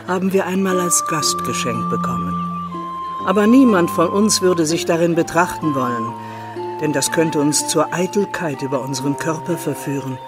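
An actress playing a wise old woman of a forest people in a radio drama, speaking in solemn, measured aphorisms. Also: fairly low pitch, lightly acted. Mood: knowing, gentle. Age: older. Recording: music clearly behind the voice.

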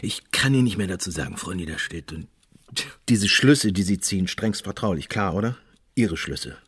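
An actor playing a restless, stubborn police investigator in a radio drama, with a rough, husky voice and a halting, colloquial, insistent manner. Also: male voice, deep voice, animated, fairly bright tone, heavily acted. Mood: evasive, insistent. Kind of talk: naturalistic German radio drama acting.

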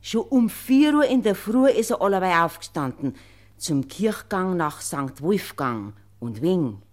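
Low, animated Bavarian farm woman. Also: unhurried. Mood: reverent, recollecting. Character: devout, respectful farm woman giving testimony. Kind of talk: recollecting testimony in radio play.

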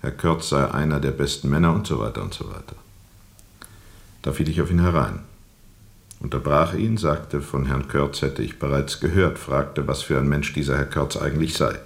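Ein Schauspieler spielt in einem Hörspiel einen weltmüden, von dunklen Erinnerungen gequälten Flusskapitän, der mit rauer Stimme bedächtig erzählt. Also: male voice, very deep voice, gravelly. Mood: brooding, reflective. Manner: deliberate, pausing and repeating for emphasis. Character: world-weary riverboat captain recounting dark memories, brooding and haunted. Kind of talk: radio drama monologue, slow storytelling.

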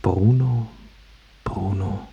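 Husky, low-voiced confused dying man. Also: warm tone, dull audio, full character voice. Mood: weak, muttering. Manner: halting, stubbornly repetitive. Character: Bruno, confused dying man with failing memory, defiant. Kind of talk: German radio drama acting.

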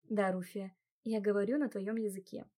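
A woman voicing a modest young heroine who speaks softly and quietly. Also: medium pitch, steady tone, moderate pace, lightly acted. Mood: calm. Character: gentle modest young heroine, soft-spoken.

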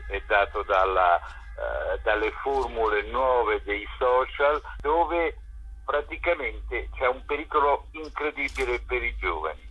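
A man answering interview questions as a festival curator, unscripted and reflective, often pausing to search for words. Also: fairly low pitch, slightly rough. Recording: dull, lo-fi sound.

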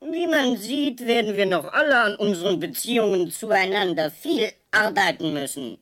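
Woman voicing scolding ship's computer, schoolmistress manner, easily hurt, mid-pitched, dry studio radio play. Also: lively intonation, normal speed, fairly bright tone, dry recording, natural voice. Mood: wounded. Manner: schoolmistressy, patronising, prim.

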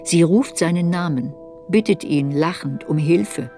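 A woman's voice: on the low side, unhurried, warm tone, soft background music, lightly acted. A woman playing a reflective narrator who looks back on a girl's story in a literary radio play. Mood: reflective, sober.

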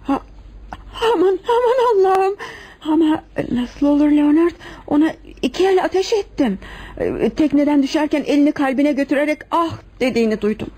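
A woman's voice: high voice, moderate pace, mellow, close to an everyday voice. An actress playing an anxious, devoted woman with a guilty secret, hesitant and melodramatic, in a radio drama. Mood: shocked, anxious.

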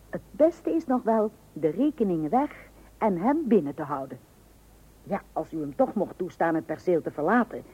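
A patient, dryly ironic mother in a vintage radio drama. Her pitch moves freely while her delivery stays deadpan and firm. The voice is slightly husky on a narrow, old broadcast recording. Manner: wry, deadpan humouring. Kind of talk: radio play acting with narration to the listener.

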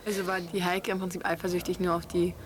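A woman's voice: lowish voice, flat delivery, quick pace, slight background noise. A woman asking direct, probing questions in a discussion.

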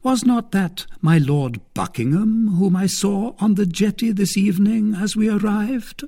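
A man reading the part of a scheming, proud noblewoman in a high, whispery voice. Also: unhurried, mellow. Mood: indignant. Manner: raised pitch, whispery cries. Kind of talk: audiobook character reading.

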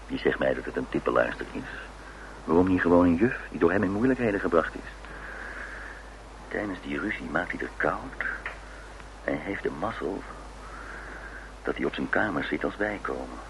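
An actor playing a hotel detective in a radio crime drama, a man speaking in dry, musing, clipped phrases. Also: very deep voice. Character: hotel detective Steve, deep-voiced, dry, speculating man. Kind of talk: radio crime drama acting with inner monologue. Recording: noisy old radio drama recording.

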